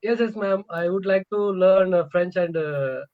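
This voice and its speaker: Hesitant Tamil-accented man, high voice. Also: unhurried. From Tamil Nadu, India. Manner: hesitant, many fillers, polite "ma'am". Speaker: English learner. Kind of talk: online tutoring conversation. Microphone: video call. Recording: muffled lo-fi call audio.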